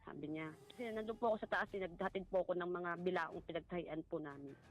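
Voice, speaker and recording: A woman with a Filipino accent giving a personal account in a halting, polite way, as a guest in conversation. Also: on the low side. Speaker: guest recounting a family story. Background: music.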